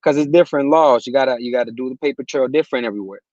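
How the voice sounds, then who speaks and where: A man's voice: mid-range voice, very fast, no room sound. Man from Puerto Rico, laid-back slangy talk, dry muffled recording. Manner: relaxed, street slang, many restarts. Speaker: guest, music distributor. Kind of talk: casual interview talk.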